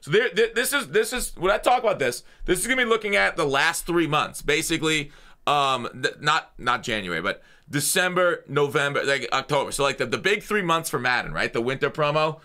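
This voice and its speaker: A man's voice: a little high, expressive, fairly quick, bright, forceful tone. A man hosting a gaming channel, talking through the news in a casual, off-the-cuff way with blunt, slangy opinions.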